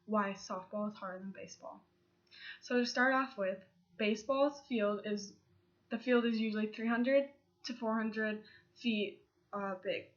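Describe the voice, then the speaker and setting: A young woman with a cold, giving a persuasive class speech in an earnest way, with restarts and fillers. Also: fairly high pitch. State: congested from a cold. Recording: slightly dull lo-fi sound.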